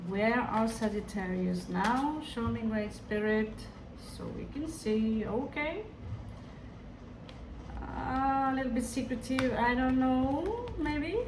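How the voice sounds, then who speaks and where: A woman's voice: a little high, slow. A woman with a Hindi-influenced accent, giving a tarot reading to her viewers in a musing, hesitant way that often addresses them directly. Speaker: tarot reader. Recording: echoey room, distant mic, background noise.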